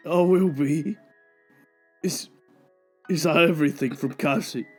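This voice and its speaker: A husky middle-aged father played by a man, grieving and subdued in an audio drama. He speaks slowly in short, halting phrases over music. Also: a little high. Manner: halting, terse.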